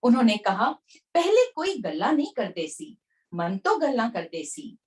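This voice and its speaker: A woman reading aloud from a devotional book in an expressive narrating manner. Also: a little high, a little slow.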